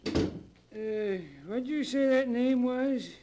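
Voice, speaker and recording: An actor playing an uneasy, grudging old cemetery caretaker in a 1940s radio drama, hesitant and folksy. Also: male voice, very high-pitched, extremely slow, warm tone, heavily acted. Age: older. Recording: noisy vintage 1940s radio broadcast.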